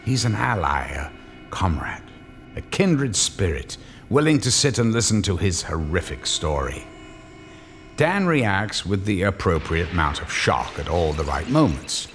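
An actor playing the narrator of a horror radio tale, telling it in a theatrical, measured way. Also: male voice, low voice, big pitch swings, fairly bright tone, full character voice. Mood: ominous, wry. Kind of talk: scripted dramatic narration. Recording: long hall reverb, faint music.

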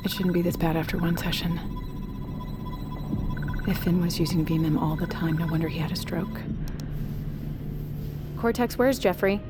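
A woman's voice: on the low side, fairly even intonation, moderate pace, pauses often, loud music behind the voice, natural voice. A woman playing a blunt, driven scientist in an audio drama, terse and demanding in her delivery. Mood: alarmed. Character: driven, blunt female scientist, increasingly alarmed.

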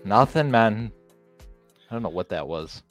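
A man's voice: lowish voice, expressive, measured pace, soft background music. Laid-back male podcast producer. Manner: laid-back, joking banter. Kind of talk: casual podcast chat.

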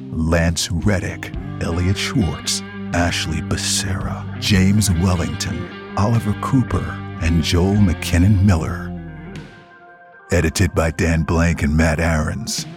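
A man reading scripted credits as the announcer of an audio production. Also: low-pitched. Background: plucked guitar music.

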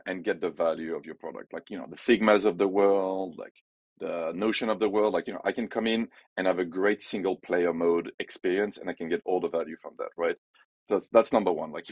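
A man's voice: low voice, slightly rough, no echo. A man from France with a French accent, a podcast guest speaking casually and opinionatedly about his work, peppering his talk with "like" and "you know". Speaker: podcast guest, head of growth. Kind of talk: casual business conversation.

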